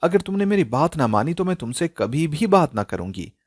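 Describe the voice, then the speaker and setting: A man's voice: on the high side, big pitch swings, moderate pace, no echo, studio quality, pitched above the performer's own voice. A man voicing a strict, controlling middle-aged mother in a curt, commanding manner, as in an audio story. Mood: stern, angry.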